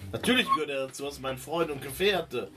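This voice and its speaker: A man's voice: fairly high pitch, expressive, fast talker, pushed and bright, recorded from a distance. A man playing a blustering, protective paladin in a tabletop game, speaking sternly and formally. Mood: defiant. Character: blustering, protective male paladin warning a rival.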